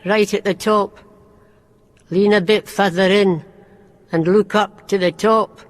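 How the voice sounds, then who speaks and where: A woman acting in a radio drama as an eerie, insistent female guide who speaks curtly and flatly. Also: medium pitch, takes it slowly, close to an everyday voice. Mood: insistent, menacing. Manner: curt, flat, insistent. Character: Martha, terse, eerie, insistent female guide in a derelict hospital. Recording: long echoing reverb, faint background noise.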